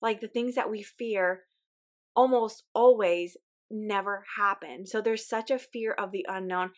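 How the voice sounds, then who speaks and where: A woman's voice: mid-range voice, fairly even intonation, measured pace. A woman podcast host with a weak, recovering voice, talking in an earnest, encouraging, conversational way. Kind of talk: casual podcast monologue.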